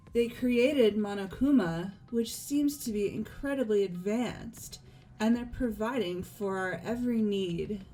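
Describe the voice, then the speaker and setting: A woman acting a high school girl in an amateur game dub, speaking in a measured, articulate way. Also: mellow, lightly acted.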